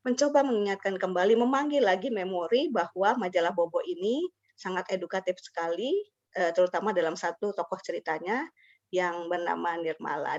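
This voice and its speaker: A woman's voice: on the low side, a little mellow. Dull-sounding woman hosting online. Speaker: webinar host. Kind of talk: informal webinar hosting. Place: online video call. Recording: dull lo-fi online call audio.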